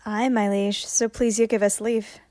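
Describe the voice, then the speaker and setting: A woman's voice: medium pitch, level tone, moderate pace, dark tone, natural voice. A woman playing a witty, spirited young princess in a Shakespearean radio play, speaking formally and articulately. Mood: curious.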